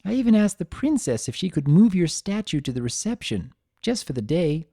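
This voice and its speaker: A man voicing an affectionate, earnest female pony caretaker, gentle and modest, in a dramatic story reading. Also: a little high, very animated, brisk, no echo, clean studio sound, voice pushed up. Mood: sad, fond. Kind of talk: character dialogue in a dramatic reading.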